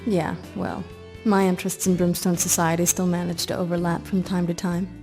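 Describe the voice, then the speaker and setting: A woman's voice: fairly low pitch, little pitch movement, moderate pace, dark tone, lightly acted. A woman acts out a sardonic half-vampire action heroine for a video game. She is tough and blunt, heard in a long reverb with music behind. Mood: wry, irritated. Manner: wry, hard-boiled, blunt. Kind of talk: video game character acting.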